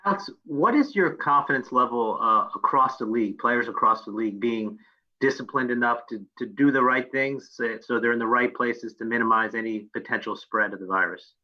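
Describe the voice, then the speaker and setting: A man's voice: medium pitch, a little fast. A man working as a reporter, asking questions over a lo-fi video press call. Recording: dull lo-fi video call audio.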